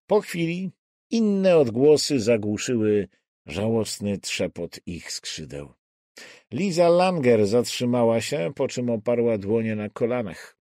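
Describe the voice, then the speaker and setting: A man reading a thriller audiobook in a measured, deliberate and expressive dramatic style. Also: medium pitch, no room sound, clean studio sound. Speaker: audiobook narrator. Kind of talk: scripted dramatic thriller narration.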